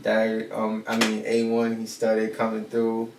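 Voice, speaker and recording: A man's voice: lowish voice, monotone, unhurried, vocal fry. A young man from Jamaica, a rapper being interviewed, talking casually in a laid-back way with slang and "feel me" tags, heard from a distant mic in a roomy studio. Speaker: rapper guest. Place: studio set with brick backdrop. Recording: roomy echo, distant mic, faint hiss.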